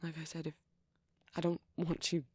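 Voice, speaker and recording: A woman voicing a shy, gentle young male vampire boyfriend in an ASMR roleplay, speaking breathily and hesitantly with small stammers. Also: low-pitched, monotone, normal speed, husky, dry recording. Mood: shy, caring. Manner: hesitant, stammering, softly whispery. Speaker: audio roleplay performer.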